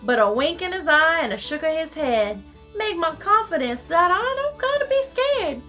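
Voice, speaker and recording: A woman voicing a folksy, excitable Cajun storyteller, reading rhymed storybook verse theatrically in a singsong dialect. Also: very high-pitched, moderate pace, soft background music, pitched above the performer's own voice, exaggerated voice. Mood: animated, amused.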